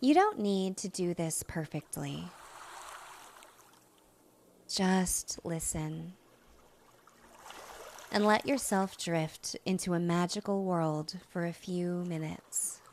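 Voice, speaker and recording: Slow, soothing woman guiding relaxation. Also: lowish voice, slight background noise. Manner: soothing, gentle, unhurried with long pauses. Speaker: hypnotist and host of a guided relaxation. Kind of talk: guided relaxation and hypnosis narration.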